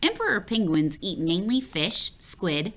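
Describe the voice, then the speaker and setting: A woman reading a picture book aloud for children, playful and expressive, talking straight to the listener. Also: medium pitch, unhurried. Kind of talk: read-aloud storytelling for children.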